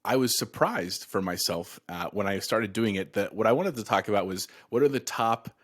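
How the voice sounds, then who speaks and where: A man in his thirties with a very deep voice co-hosts a casual gaming podcast. He speaks earnestly and with real passion, often stumbling into his points. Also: normal speed, fairly bright tone. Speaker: podcast co-host.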